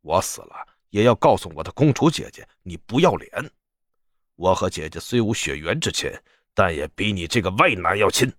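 A man's voice: low-pitched, sing-song, moderate pace, fairly bright tone, dry recording, studio quality. A man playing a sly, shameless prince posing as a monk, teasing and theatrically aggrieved in a period audio story. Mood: aggrieved outburst.

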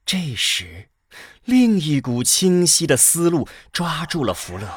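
Slow, expressive male drama narrator. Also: fairly high pitch, husky, dry recording. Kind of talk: dramatic scripted narration of sci-fi radio play.

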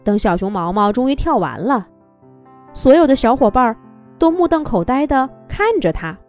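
A woman narrating a children's story in a gentle, expressive, singsong way. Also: a little high, moderate pace. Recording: soft background music.

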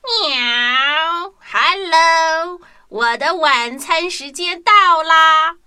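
A woman's voice: very high-pitched, drawn-out words, pushed and bright, soft background music, full character voice. A woman voicing a hungry, menacing house cat in a children's audio drama. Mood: gleeful menace.

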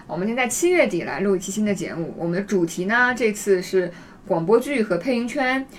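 A woman's voice: medium pitch, expressive, brisk, slight background noise. A woman hosting a casual podcast, introducing things in a conversational way. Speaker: podcast host.

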